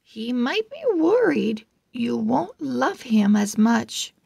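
A woman's voice: fairly high pitch, sing-song, takes it slowly, vocal fry, darkish tone, husky, no echo, studio quality, voice pushed down, exaggerated voice. A woman voicing a frank young owl friend from a storybook, with emphatic delivery. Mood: concerned.

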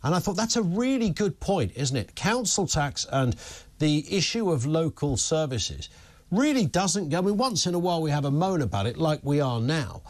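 A man, hosting a talk show interview in a television studio with a lapel mic, chatty and opinionated with casual asides. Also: medium pitch. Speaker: news talk show host. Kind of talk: conversational broadcast interview.

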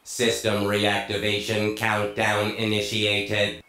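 An actor playing a huge, stern, obedient combat robot in a video game, with a clipped mechanical delivery and a metallic filter. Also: male voice, fairly low pitch, monotone, slow pace, sharp tone, reverberant, slight background noise, rough recording. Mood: emotionless. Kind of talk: video game cutscene acting.

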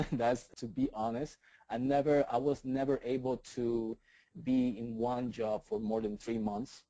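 A man's voice: medium pitch, level tone, a little slow, dark tone, dry recording, low-quality recording. A man in his thirties with a Puerto Rican accent, a guest talking eagerly in an interview, often repeating words and asking "right?". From Puerto Rico. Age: 30s. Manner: enthusiastic, repeats words, many "right?" tags. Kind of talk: casual interview talk.

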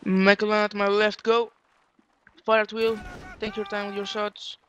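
A man leading a group in online game voice chat, barking quick commands. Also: high-pitched, highs cut off, a little hiss or hum. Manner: barks quick commands, excitable. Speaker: line battle officer giving orders.

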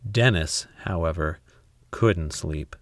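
Male children's narrator, lowish creaky voice, expressive storytelling with deliberate dramatic pauses. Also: background noise. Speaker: storybook reader.

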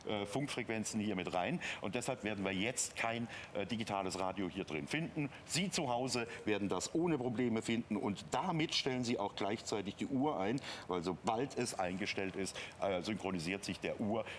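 Middle-aged man, low voice, TV shopping presenter, conversational explaining, clear background noise. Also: expressive, moderate pace, hardly any pauses. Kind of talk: unscripted sales demonstration, conversational explaining. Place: TV shopping studio.

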